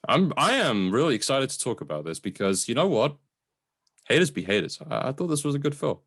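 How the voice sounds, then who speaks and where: A man's voice: mid-range voice, big pitch swings, brisk, fairly bright tone, slightly rough, no echo, studio quality. A man hosting a podcast, chatting casually and enthusiastically about a film in an informal way. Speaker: podcast host. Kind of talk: casual film review chat.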